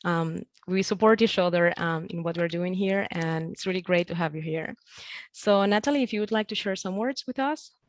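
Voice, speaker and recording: A woman's voice: fairly low pitch, normal speed, dry recording. Woman facilitating an online group meeting. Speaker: host of an online meetup.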